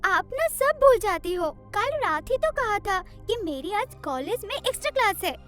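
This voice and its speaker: A woman voicing an excitable, pleading teenage college girl in a Hindi cartoon story. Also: extremely high pitch, normal speed, soft background music, full character voice. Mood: mildly exasperated.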